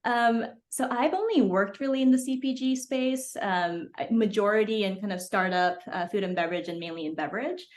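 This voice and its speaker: A woman's voice: medium pitch, brisk, few pauses. A woman in her forties from Hong Kong, chatting as a podcast guest, talking freely with many fillers. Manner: chatty, many fillers, laughs between phrases. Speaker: podcast guest, beverage founder. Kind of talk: casual interview chat.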